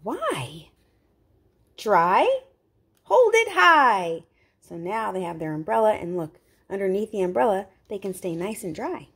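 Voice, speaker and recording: A woman's voice: medium pitch, big pitch swings, unhurried, fairly bright tone, husky. A woman reading a picture book aloud to young children, expressively, with simple explanatory asides. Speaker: children's read-aloud host.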